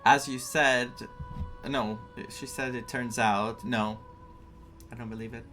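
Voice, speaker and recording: Man, casual gaming commentary, slow halting delivery, reactive, game music behind. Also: medium pitch, steady tone, fairly bright tone. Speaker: gaming streamer.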